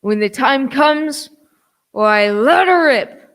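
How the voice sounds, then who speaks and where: A wily old pirate sea cook as acted in a radio play. The voice is clear and smooth, full of folksy, cajoling sailor dialect. It is recorded close and dry. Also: sits high, expressive, takes it slowly, fairly bright tone, dry recording, heavily acted. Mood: scheming, forceful.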